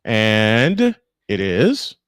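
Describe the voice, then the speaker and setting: A man's voice: lowish voice, huge pitch swings, very slow, a bit bright, clean tone, dry recording, studio quality. A man doing live baseball play-by-play, mixing commentary with casual banter as host.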